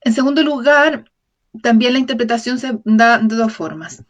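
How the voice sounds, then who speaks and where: A woman with a somewhat high-pitched voice delivers a lecture for an open talk series. She moves through it in a measured way, often breaking off to correct a word and tossing out tag questions to the audience. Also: highs cut off.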